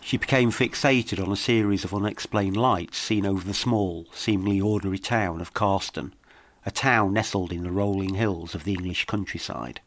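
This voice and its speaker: A man reading scripted mystery narration in a measured, dramatic storytelling manner. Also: sits low, fairly bright tone. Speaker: documentary podcast narrator.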